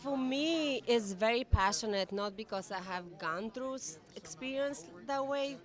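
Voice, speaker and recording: A woman's voice: fairly high pitch, animated, measured pace. A woman with a Bulgarian accent giving earnest, unscripted interview answers at a red carpet event. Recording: crowd chatter behind voice.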